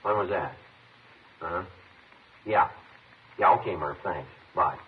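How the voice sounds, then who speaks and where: Very low, clipped radio police detective. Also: male voice, a little slow. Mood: businesslike. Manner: clipped, matter-of-fact, understated. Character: terse, level-headed male police detective on stakeout. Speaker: lead actor and narrator. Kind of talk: 1950s radio crime drama acting. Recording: muffled, hissy vintage radio recording.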